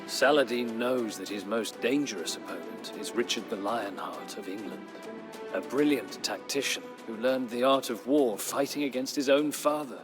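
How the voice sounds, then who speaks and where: Male actor as weary middle-aged knight, medium-pitched man's voice, grave chronicler, solemn measured delivery, dramatic game narration, music behind. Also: close to an everyday voice. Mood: grave, tense. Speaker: campaign storyline narrator. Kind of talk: dramatic scripted first-person narration. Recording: music under the voice.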